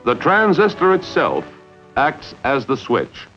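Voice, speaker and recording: Dull vintage film recording, expressive male narrator. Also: medium pitch, takes it slowly, soft background music. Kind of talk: scripted instructional narration, 1950s training film. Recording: dull, lo-fi 1950s film soundtrack.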